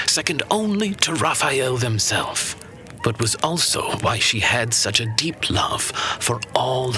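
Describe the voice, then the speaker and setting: A man playing a knowing narrator of fantasy legends, grave, deliberate and dramatic, with a breathy voice. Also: mid-range voice, sharp tone, exaggerated voice, poor audio. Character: solemn old-world male storyteller of legends, knowing. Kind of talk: scripted fantasy storytelling.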